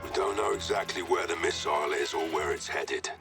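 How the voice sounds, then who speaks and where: An actor playing a stern, seasoned special forces commander, terse and grave, with a gravelly voice in a video game. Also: male voice, low-pitched, fairly quick, over music. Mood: matter-of-fact. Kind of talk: video game cinematic acting.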